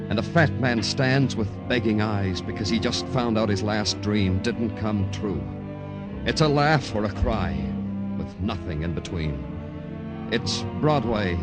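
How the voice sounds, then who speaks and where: A world-weary detective with a low voice, terse and sardonic, in 1950s radio noir style. Music plays clearly on the old broadcast recording. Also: male voice. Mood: brooding. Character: hard-boiled, world-weary male police detective narrating his case. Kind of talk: 1950s radio noir acting, poetic narration. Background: dramatic music.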